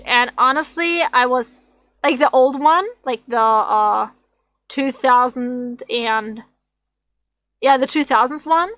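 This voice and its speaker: A woman streamer with a slightly raspy voice, rambling and thinking aloud as she draws on a casual livestream. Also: sits high, fairly bright tone, dry recording. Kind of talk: casual drawing livestream chat.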